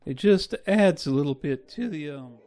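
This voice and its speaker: A man's voice: on the high side, expressive, moderate pace, warm tone, natural voice. A man playing a folksy, deferential deputy in a Western radio drama, with drawling phrases. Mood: wistful. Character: folksy, deferential male deputy in the Old West.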